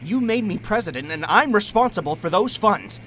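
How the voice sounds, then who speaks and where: An actor playing a desperate, indignant company president, pleading and insistent, in the style of old radio melodrama. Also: male voice, expressive, normal speed, a bit bright, faint music behind. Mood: desperate, demanding. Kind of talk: 1940s radio melodrama acting. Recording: faint vehicle rumble behind the voice.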